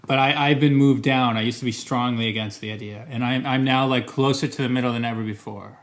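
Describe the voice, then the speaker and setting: A man's voice: medium pitch, steady tone, moderate pace, long pauses. A Canadian man with English as his first language, talking casually to camera as a vlogger, thoughtful and careful, often hedging and restarting his sentences. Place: Montreal.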